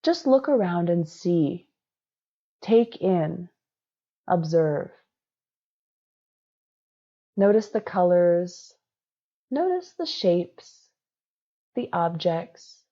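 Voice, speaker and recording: Female meditation guide, unhurried and measured, dark mellow tone, instructive delivery. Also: lowish voice, sing-song, highs cut off.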